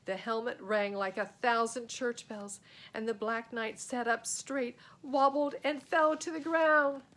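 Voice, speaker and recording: A woman reading a children's book aloud as a storyteller, in an expressive, dramatic way. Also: a little high, unhurried. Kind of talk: animated children's book narration.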